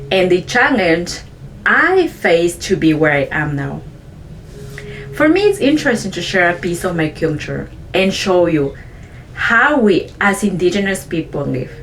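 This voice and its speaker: A woman's voice: fairly low pitch, slight background noise, poor audio. Earnest woman, Mayan-speaking vlogger. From Chiapas, Mexico. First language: Chong (a Mayan language). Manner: earnest, simple sentences, careful non-native English. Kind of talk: reflective personal narration.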